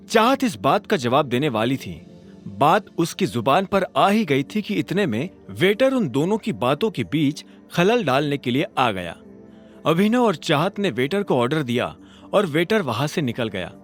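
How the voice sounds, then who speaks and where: A man narrating a scripted audio drama as a dramatic storyteller. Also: fairly high pitch.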